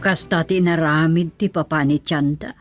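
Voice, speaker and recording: A woman's voice: deep voice, steady tone, normal speed, loud music behind the voice, natural voice. A woman acting a protective, resigned mother in a radio drama, anxious and cautioning, with a Filipino accent. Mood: dismayed. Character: worried, protective mother of a young man, resigned.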